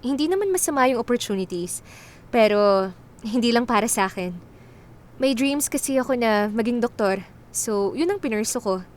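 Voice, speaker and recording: A woman acting in a Filipino radio drama as a contented former teen star turned doctor, conversational and gently teasing. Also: a little high, expressive, moderate pace, pauses often, close to an everyday voice. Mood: composed, sincere. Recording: faint music behind the voice.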